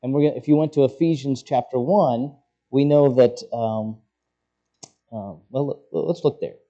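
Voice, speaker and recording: A man preaching an expository sermon, working through scripture as a teacher would, often halting as he searches for words. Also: mid-range voice, dark tone, muffled recording.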